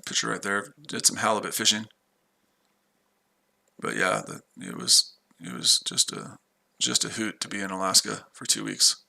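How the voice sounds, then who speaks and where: A man's voice: lowish voice, sing-song, normal speed, vocal fry, bright, forceful tone. An American man casually chatting on a livestream while trading, rambling and talking to his viewers. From United States. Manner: rambling, repeats himself, chats with viewers. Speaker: livestream trader.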